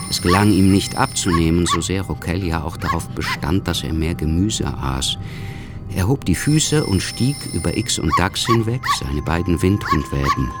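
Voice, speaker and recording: An actor narrating a literary radio play in a sombre, measured, scripted manner. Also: male voice, low-pitched.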